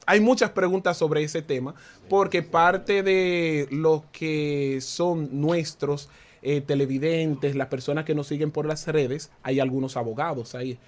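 A man's voice: a little high, steady tone, unhurried. A man hosting a talk show, joking and laughing with his guests. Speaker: host. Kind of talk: casual talk show chat.

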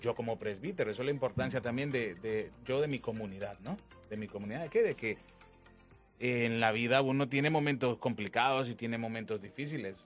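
A man's voice: medium pitch, brisk. A man, speaking as a priest in an informal religious conversation, emphatic and repeating phrases as he explains. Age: 20s. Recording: music under the talk.

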